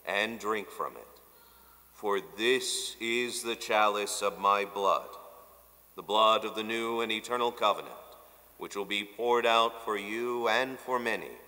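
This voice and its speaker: A man in his thirties, a priest, reciting the Eucharistic Prayer at Mass in a measured, solemn way from the altar of a marble church. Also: lowish voice, pushed and bright. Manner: solemn, measured recitation with slowed key phrases. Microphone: stand microphone at the altar. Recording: long church reverb.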